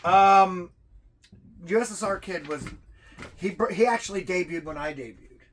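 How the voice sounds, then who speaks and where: A man's voice: high-pitched, moderate pace, rough recording. A man hosting a wrestling show, joking and rambling through a casual rundown with stammered restarts. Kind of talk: casual chat and rundown of rankings. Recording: clear background room noise.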